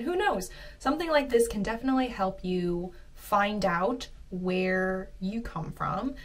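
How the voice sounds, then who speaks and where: A woman hosting her own channel, teaching vocabulary with slow, careful pronunciation of each word. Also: mid-range voice, recorded from a distance. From Finland and the US. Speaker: language teacher and channel host. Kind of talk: sponsored segment and vocabulary lesson.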